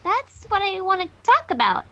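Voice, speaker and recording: A woman voicing an eager, demanding little girl pony with an excitable, pleading manner. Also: very high voice, moderate pace, highs cut off, exaggerated voice.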